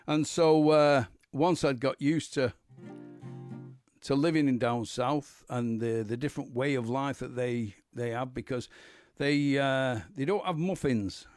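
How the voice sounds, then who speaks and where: A singer-songwriter from Manchester with a Mancunian accent, chatting casually and wryly at home while introducing a song. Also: male voice, medium pitch, no echo, studio quality. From Manchester, England. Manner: chatty, wry, broad humor. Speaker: singer-songwriter hosting a live stream. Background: guitar.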